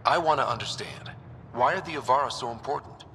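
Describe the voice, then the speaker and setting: An actor playing a steady young male squad leader who gives calm, clipped commands, in noisy game audio. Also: mid-range voice, brisk, natural voice.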